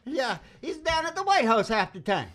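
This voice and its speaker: A woman's voice: high voice, very animated, normal speed, a bit bright. A woman hosting a casual radio show, rambling in a folksy, salty, blunt way. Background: acoustic guitar.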